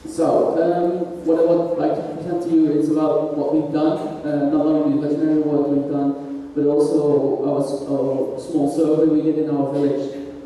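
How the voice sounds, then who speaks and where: Flat, hesitant man in an echoey hall. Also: a little high, moderate pace, hardly any pauses. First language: not Thai or Lao. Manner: flat, hesitant, many fillers. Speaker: group representative presenting. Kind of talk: slide presentation. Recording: echoey hall, far from mic.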